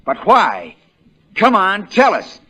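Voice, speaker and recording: An actor playing a shrewd, folksy American country lawyer, relentless in questioning, in the style of 1940s radio drama. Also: male voice, high voice, huge pitch swings, takes it slowly, sharp tone, breathy edge, exaggerated voice. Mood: fierce, accusing. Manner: drawling folksy understatement that builds into hammering accusation.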